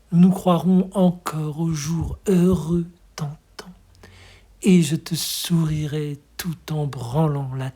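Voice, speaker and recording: Slow, breathy, hushed poetry reader. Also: mid-range voice. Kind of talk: slow expressive poetry recitation.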